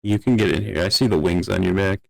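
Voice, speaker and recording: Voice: low-pitched, steady tone, quick pace, gravelly, a crowd in the background. A player chatting and joking casually with a group during a roleplay game.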